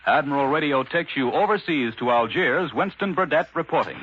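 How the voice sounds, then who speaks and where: A muffled old radio signal with faint noise carries an American man. He anchors the news in 1940s announcer style, his pitch moving in an animated way. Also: a little fast.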